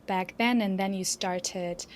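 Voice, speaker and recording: A woman's voice: mid-range voice, steady tone, slow, slight background noise. A woman hosting a podcast interview, introducing the episode and asking her guest long, friendly questions. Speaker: podcast host.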